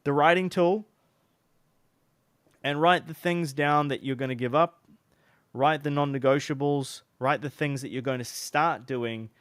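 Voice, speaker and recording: A man's voice: mid-range voice, clear, resonant tone, no room sound. A young man with a New Zealand accent giving casual advice to camera in a loose, chatty way, with lots of fillers and restarts. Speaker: online business coach.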